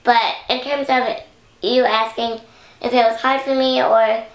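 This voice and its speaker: A young woman with a slightly high, raspy, hoarse voice talks straight to a close camera. She rambles in a chatty, friendly vlog style, full of "like"s. The room around her sounds echoey. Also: little pitch movement, a little slow. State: hoarse from singing. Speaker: YouTuber. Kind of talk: casual Q&A chat to camera. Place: indoor room at home.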